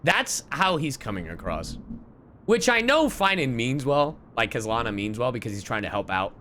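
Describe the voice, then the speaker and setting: A man's voice: mid-range voice, sing-song, moderate pace, bright, forceful tone, slight background noise. A man streaming a game, chatting casually and reacting to the story as it plays. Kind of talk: casual live stream commentary.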